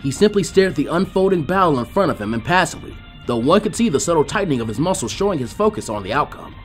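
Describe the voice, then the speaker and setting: A man reading a fan fiction story aloud as an animated narrator. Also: a little high, fast, faint music behind.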